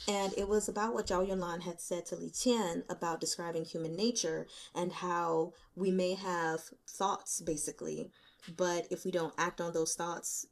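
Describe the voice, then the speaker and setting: A woman hosting a reading channel, talking through her notes in a casual, candid, colloquial and animated way. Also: lowish voice, creaky. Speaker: host of a book reading channel. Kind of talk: casual chatty book commentary. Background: rain.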